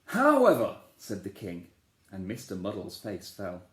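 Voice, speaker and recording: A man, a teacher, reading a children's storybook aloud in a playful, expressive way, asking the listeners questions. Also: low-pitched, a little slow. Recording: echoey room.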